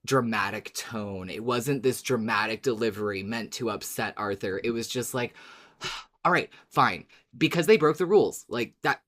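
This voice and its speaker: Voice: medium pitch, normal speed, a bit bright. A podcast co-host who talks about a story in an emphatic, dramatic way, as a fan of it. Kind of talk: scripted recap, then animated fan discussion.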